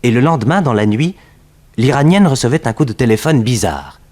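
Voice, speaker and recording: Casting: male actor as récitant for 1960s crime radio play, middle register, composed, measured, literary. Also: medium pitch, expressive, very noisy, natural voice. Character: composed male storyteller of a detective serial. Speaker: narrator. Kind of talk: scripted 1960s French radio drama narration.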